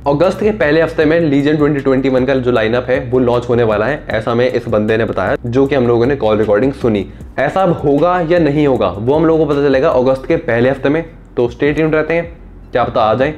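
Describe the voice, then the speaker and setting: A man's voice: mid-range voice, darkish tone. A young man talking casually and directly to camera as a tech video host. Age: young adult. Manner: informal, direct address with rhetorical questions. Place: small indoor room. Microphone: clip-on lapel mic.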